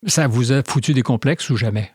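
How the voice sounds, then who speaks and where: A middle-aged man with a Québécois accent hosting an interview, asking measured, challenging follow-up questions. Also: medium pitch, a little mellow, husky, no room sound, studio quality. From Quebec. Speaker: podcast host, interviewer.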